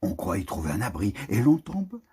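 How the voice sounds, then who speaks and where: A man giving a literary reading of a prose poem in a solemn, declamatory manner with deliberate phrasing. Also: fairly low pitch, wildly swooping pitch, mellow, breathy edge.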